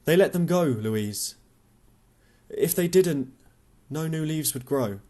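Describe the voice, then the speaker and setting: A man reading an audiobook, voicing a gently philosophical, persistent male neighbour in a measured, insistent manner. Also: a little high, animated, pitched above the performer's own voice. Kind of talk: audiobook character dialogue.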